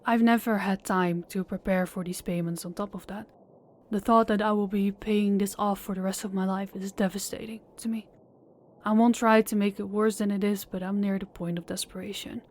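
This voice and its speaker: A woman's voice: medium pitch, flat delivery, moderate pace, darkish tone, lightly acted. A woman voicing a polite, desperate young woman pleading with a crime boss in an audio roleplay, speaking formally and deferentially. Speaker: voice actor and channel host.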